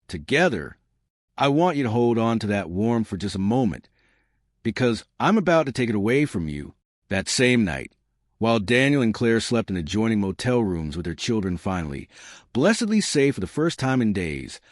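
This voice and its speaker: A man narrating a dramatic story as a scripted audiobook, with deliberate phrasing that draws the listener in. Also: on the low side, no echo, studio quality.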